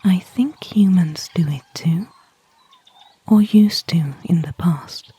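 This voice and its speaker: A woman playing a gentle, thoughtful elven ranger in an ASMR fantasy roleplay, speaking in a soft, breathy, intimate whisper. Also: lowish voice, no room sound, full character voice. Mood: earnest, gently teasing. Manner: slow, hushed, intimate, unhurried. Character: gentle, thoughtful female elven ranger, kindly toward a human.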